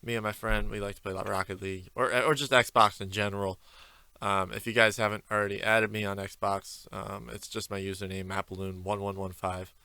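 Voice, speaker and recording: A man's voice: fairly low pitch, little pitch movement, brisk, vocal fry, pushed and bright, clear, resonant tone, no room sound. A young man hosting a solo podcast from his basement, chatting freely and rambling with lots of fillers. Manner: rambling, freestyle with many fillers. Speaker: podcast host. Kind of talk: casual solo podcast chat. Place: home basement with concrete walls. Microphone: desk microphone on camera.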